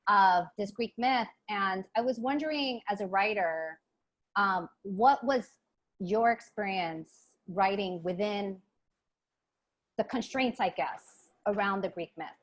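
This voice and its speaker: A woman's voice: medium pitch, slow pace, poor audio. A woman moderating a book club panel in casual conversation, feeling her way through rambling questions full of fillers.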